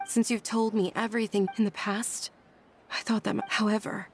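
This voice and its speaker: An actress voicing a gentle, thoughtful young noblewoman in an anime game, speaking in a polite, measured way. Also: mid-range voice, little pitch movement, slight background noise, close to an everyday voice. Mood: reasoning. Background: music.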